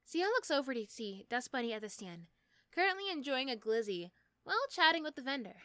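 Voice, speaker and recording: A woman's voice: sits high, sing-song, fairly quick, a bit bright, no echo. A woman narrating a scripted second-person roleplay story.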